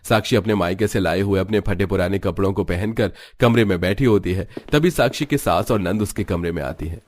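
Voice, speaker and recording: A man's voice: low-pitched, fairly quick. A man narrating a scripted story. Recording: music bed under voice. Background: background music.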